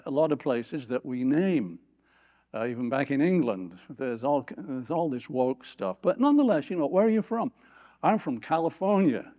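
A man preaching a sermon from a church platform, lifting his voice for scripture quotes and dropping low for quiet asides. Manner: animated, rising pitch on scripture quotes. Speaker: pastor.

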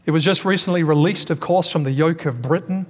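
A man preaching a Sunday sermon to a congregation, stressing key words and putting questions to the listeners. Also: fairly high pitch. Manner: preaching with emphatic pauses and calls to the congregation. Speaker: pastor. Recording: long hall reverb.

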